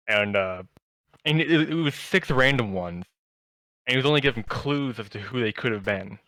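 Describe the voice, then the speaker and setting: A man's voice: on the low side, sharp tone, no room sound, low-quality recording. A man co-hosting a podcast, chatting casually and telling stories in an enthusiastic, rambling way. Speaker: podcast co-host. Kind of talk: casual chat about anime.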